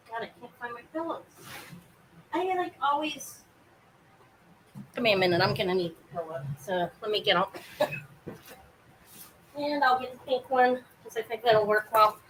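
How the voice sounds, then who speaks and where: Brief: woman, live-sale host, loud carrying voice, rambly and self-interrupting, home setup. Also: a little high, slight background noise, rough recording. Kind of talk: casual live sale chat. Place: home apartment, fan running.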